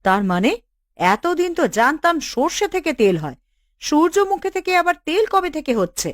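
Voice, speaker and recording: Lady acting as bossy cartoon village woman, high pitched, scolding, really swoopy delivery. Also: big pitch swings, moderate pace, no echo, studio quality. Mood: scheming, stern. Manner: assertive, scolding. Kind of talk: Bengali cartoon dialogue.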